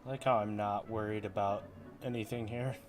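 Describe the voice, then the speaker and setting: A man's voice: fairly low pitch, fairly even intonation, a little fast, faint music behind. Casual, sweary man commenting on gameplay. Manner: informal, profane, reacting aloud. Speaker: game streamer.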